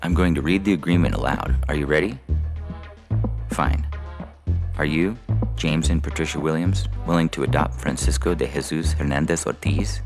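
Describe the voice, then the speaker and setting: Man acting a very deep, gravelly official. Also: normal speed, faint music behind. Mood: formal, businesslike. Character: brisk businesslike male official running an adoption procedure. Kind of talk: audio fiction reading.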